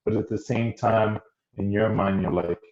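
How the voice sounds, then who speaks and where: A man's voice: fairly low pitch, steady tone. A man hosting an interview podcast. He often restarts a thought and asks several questions in a row. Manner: talks slowly, stacks up questions with restarts. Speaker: podcast host. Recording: roomy, muffled audio with faint noise.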